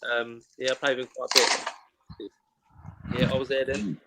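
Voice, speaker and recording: A man's voice: medium pitch, completely flat delivery, normal speed, very noisy. A man, a former player chatting on a fan show, telling rambling stories with plenty of banter. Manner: chatty, anecdotal storytelling full of banter. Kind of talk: casual chat.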